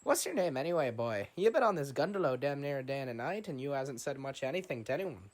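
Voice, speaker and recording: A man's voice: medium pitch, very animated, quick pace, pitched above the performer's own voice, lightly acted. A man voicing a chatty, nosy old riverboat captain with a put-on brogue, rambling and tagging "you know" onto his sentences. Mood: jovial. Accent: put-on Scottish-like brogue.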